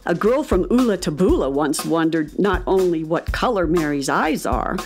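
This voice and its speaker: A woman narrating a scripted children's radio story in an animated storytelling manner. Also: lowish voice, moderate pace, soft background music.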